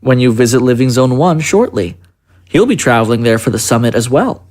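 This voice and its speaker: A man playing a polite, secretive presidential chief of staff in an audio drama, with formal, measured speech. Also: mid-range voice, expressive, darkish tone, close to an everyday voice. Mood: courteous, guarded.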